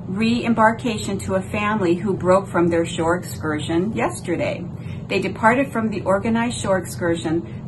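A woman's voice: mid-range voice, background noise. An older woman presenting cruise news updates from her home office, in an upbeat, newsy manner, recorded at her desk. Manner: upbeat, newsy delivery reading from notes. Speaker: travel vlogger. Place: small home office, indoors.

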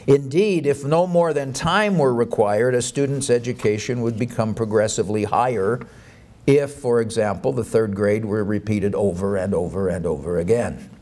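A man's voice: fairly low pitch, moderate pace, darkish tone. Middle-aged man reading a formal lecture. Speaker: academic lecturer. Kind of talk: formal lecture read aloud from a script. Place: indoor conference hall, at a lectern. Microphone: boom microphone on the lectern.

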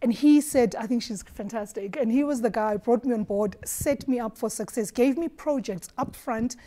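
Woman on a studio panel, lapel mic, mellow but highish voice, lively storyteller who laughs a lot. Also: normal speed. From Eswatini. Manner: animated storyteller, laughs often. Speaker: marketing executive panelist. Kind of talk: roundtable discussion. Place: dark studio set at a round table.

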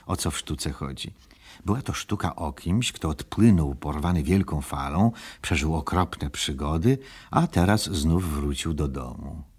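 A calm storybook narrator in a children's record play. His older voice is very deep and husky, telling the tale evenly.

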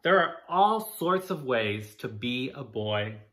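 A caring but firm storybook mother, acted by a man. The voice lifts high at times with wide, singing intonation and a bright tone. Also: big pitch swings, slow pace, heavily acted. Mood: reassuring. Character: Jacob's mother, caring, gently firm woman.

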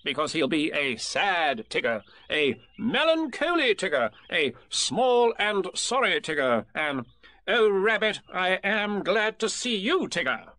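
A man's voice: big pitch swings, bright, forceful tone, dry recording, exaggerated voice. A man reading a storybook aloud, voicing a fussy, self-important male rabbit. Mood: bossy. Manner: brisk, self-important, high-pitched.

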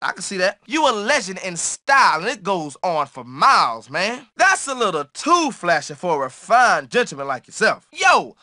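A man's voice: high-pitched, very animated, moderate pace, few pauses, sharp tone, no echo, studio quality, heavily acted. A man voicing a cocky, slangy young streetwise jock for a video game, full of brash attitude. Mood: laid-back, bored. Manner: slangy, brash trash talk. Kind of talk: video game character barks.

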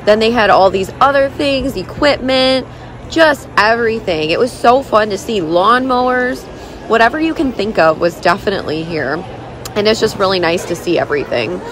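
A woman's voice: mid-range voice, expressive, normal speed, noisy background. A woman in her thirties narrating a casual vlog in a gushing, enthusiastic manner. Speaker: vlogger and horse owner.

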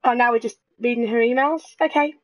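A Welsh woman with an accent softened by London, giving chatty, jokey gameplay commentary and swearing now and then. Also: on the high side, steady tone, fast talker, lo-fi sound. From Wales. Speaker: let's play streamer.

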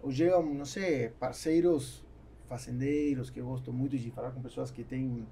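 Gravelly man, halting speech. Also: mid-range voice, steady tone, dark tone, a little hiss or hum. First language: Spanish. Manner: halting, searching for words. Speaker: podcast guest, businessman. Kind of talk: conversational interview answers. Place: small foam-lined podcast studio. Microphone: studio microphone on boom arm.

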